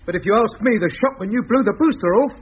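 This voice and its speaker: A man playing an excitable, grumbling radio operator with a British accent and husky voice in a 1950s radio serial. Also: high-pitched, quick pace. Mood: anxious, gloomy. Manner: stammering, complaining, colloquial. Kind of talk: 1950s British radio comedy-drama acting. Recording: muffled 1950s radio recording.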